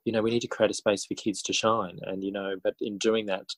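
An Australian man in his forties talks as a podcast guest. His voice sits fairly low, with a very dry sound. He tells things casually and reflectively, often pausing and rewording as he goes. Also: level tone, no room sound. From country New South Wales, Australia. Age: 40s. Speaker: interview guest, schoolteacher and author.

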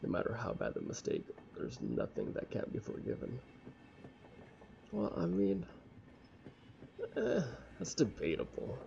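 A young man with a casual, halting, sweary manner reading a visual novel aloud and commenting as he plays. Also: mid-range voice, huge pitch swings, vocal fry, dark tone. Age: young adult. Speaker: let's play streamer. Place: small room at home. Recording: dull lo-fi mic with faint noise.

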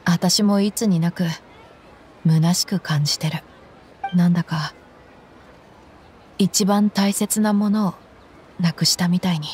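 A woman playing a tough, soft-hearted young mercenary in a game, with blunt, reflective speech. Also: fairly low pitch, steady tone, takes it slowly, long pauses, slight background noise. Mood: wistful, reflective. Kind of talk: Japanese game voice acting.

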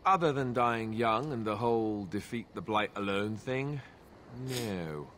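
An actor playing an easygoing young warrior in a fantasy video game, wry, self-deprecating and casual. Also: male voice, lowish voice, measured pace, a bit bright, slight background noise.

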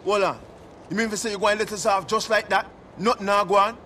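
An actor playing a hot-tempered Rastafarian gangster who speaks thick Jamaican patois in a video game. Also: male voice, high-pitched, steady tone, quick pace, sharp tone, slight background noise. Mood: incredulous. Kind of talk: video game cutscene acting.

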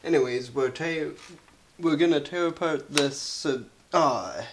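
Slow-talking young man with a crackly cold voice. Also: a little high. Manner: halting, clears throat often. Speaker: YouTube host. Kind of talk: casual teardown vlog narration. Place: carpeted room indoors.

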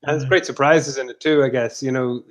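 A man, speaking into a headset on a video call, reflecting on a film in a steady, thoughtful way. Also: mid-range voice, fairly quick. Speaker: film producer. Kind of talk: casual interview answers. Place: indoor room at home.

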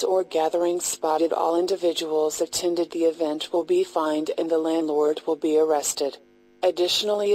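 A woman reading a scripted emergency announcement in a measured, impersonal, formal way. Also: lowish voice, dark tone, low-quality recording.

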